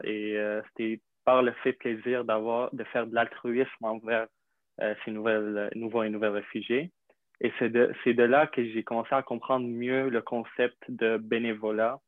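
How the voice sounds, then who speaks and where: A young man from Syria, a native Arabic speaker, telling his story in an interview with many pauses, fillers and restarts. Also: mid-range voice, dry recording. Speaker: interview guest. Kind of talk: hesitant conversational interview. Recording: dry tinny phone-like line.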